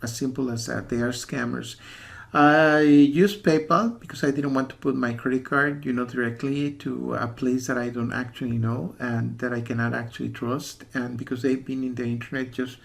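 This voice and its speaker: Male reviewer, mid-pitched with noticeable fry; unscripted, hesitant delivery that hammers points by repetition. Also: fairly even intonation, moderate pace. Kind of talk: casual spoken warning review with screen walkthrough.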